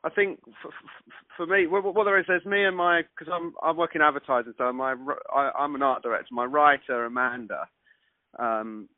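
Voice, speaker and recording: A man's voice: medium pitch, brisk, no room sound. A man in a casual phone conversation, hesitant, often repeating the first words of his phrases. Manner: hesitant, stammering over starts of phrases. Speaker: interviewer, listener. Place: on a phone call. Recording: narrowband telephone call, dry.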